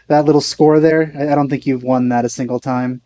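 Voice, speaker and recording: A man's voice: medium pitch, fairly even intonation, normal speed, warm tone. A man giving casual let's play commentary with dry, teasing asides.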